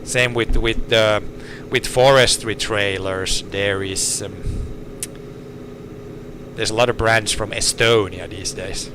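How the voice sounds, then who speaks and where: A laid-back man, slightly low-pitched, talking from a tractor cab. He keeps restarting his phrases in a halting way. A steady hum of engine and air conditioning runs clearly behind him. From Finland. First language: Swedish. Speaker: organic farmer. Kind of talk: casual chat while tractoring.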